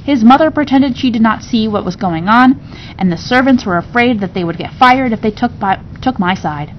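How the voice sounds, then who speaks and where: A woman reading a classic novel aloud in an expressive storybook narration style. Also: moderate pace. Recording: dull recording with low rumbling noise.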